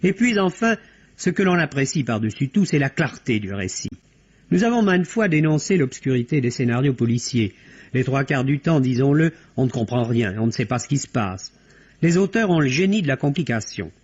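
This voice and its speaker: Man reviewing films, slightly husky, vintage radio. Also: medium pitch, expressive, moderate pace, a little mellow. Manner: articulate, formal, literary phrasing. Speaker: radio film critic. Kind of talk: scripted film review for radio. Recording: dull, band-limited vintage radio recording.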